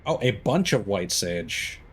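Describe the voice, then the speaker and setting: A man's voice: fairly low pitch, big pitch swings, a little slow, faint music behind. A man doing casual live gameplay commentary, muttering to himself in short reactions over game sound. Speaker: video game streamer.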